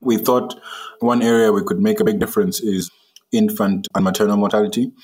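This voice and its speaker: A man's voice: lowish voice, fairly even intonation, brisk, a little mellow, husky. A man from Malawi who co-founded a drone startup, explaining his work steadily with an occasional "uh". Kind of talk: casual interview talk.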